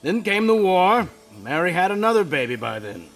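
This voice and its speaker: A man's voice: high voice, sing-song, normal speed, a bit bright, full character voice, poor audio. A man playing a patient heavenly storyteller. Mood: storytelling. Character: calm heavenly male narrator, an angel's superior. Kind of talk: radio play narration.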